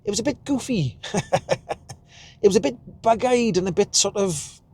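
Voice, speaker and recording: A man's voice: sits high, animated, normal speed, a little mellow, husky. A Welsh man in his fifties, chatting casually and rambling while he drives, recorded in his car. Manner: chatty, rambling, chuckles at himself. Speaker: vlogger. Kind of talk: casual driving vlog. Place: inside a car while driving.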